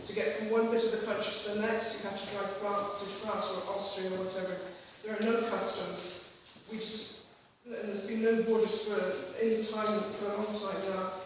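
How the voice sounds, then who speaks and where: A woman from Switzerland giving an informal, earnest, somewhat hesitant speech at a public meeting. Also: medium pitch. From Switzerland, grew up in Geneva. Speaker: campaign speaker. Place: school hall at a public meeting. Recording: echoey hall, distant mic, faint noise.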